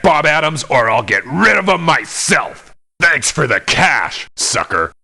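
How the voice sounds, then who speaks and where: An actor voicing a gruff, blunt, contemptuous mercenary with a rough, raspy voice in a video game. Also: male voice, on the high side, bright, forceful tone, exaggerated voice. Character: gruff, hard-bitten, threatening male mercenary. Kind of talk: video game character voice lines. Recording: lo-fi game voice clips.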